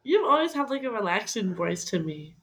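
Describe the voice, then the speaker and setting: A woman's voice: mid-range voice, very animated, a little fast, a bit bright, husky. A woman co-hosting a podcast, talking casually and bluntly, with casual swearing. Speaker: podcast co-host. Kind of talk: casual chat.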